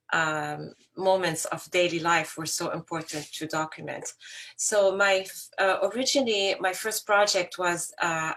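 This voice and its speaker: A woman from Lebanon, now a photographer, talks about her projects. Her voice sits a little low, and she speaks in a loose, rambling way, often restarting her sentences. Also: a bit bright. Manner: rambling, with self-corrections. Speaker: photographer guest. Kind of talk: casual talk about her work.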